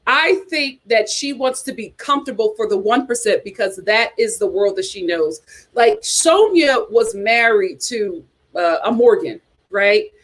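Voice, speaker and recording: Young female host, sassy casual commentary, bright high register. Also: measured pace. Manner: sassy, animated, talks straight to viewers.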